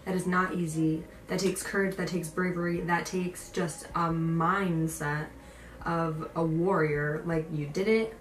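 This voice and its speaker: A woman's voice: on the low side, steady tone, measured pace. A young woman chatting casually as a vlogger, informal and emphatic, repeating phrases as she tells stories and gives encouraging advice. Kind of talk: casual chat to camera, storytelling and advice. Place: indoor room. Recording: roomy echo, distant mic, faint noise.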